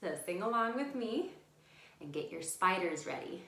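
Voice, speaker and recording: A woman's voice: mid-range voice, big pitch swings, normal speed, a bit bright. Echoey woman hosting children's storytime. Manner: encouraging, addresses children directly with simple instructions. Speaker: children's library storytime host. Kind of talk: interactive storytime for young children. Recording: echoey room sound.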